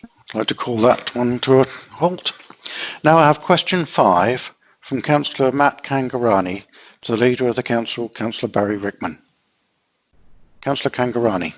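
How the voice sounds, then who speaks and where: A man's voice: medium pitch, moderate pace. A man formally chairing a council meeting, calling speakers and reading questions.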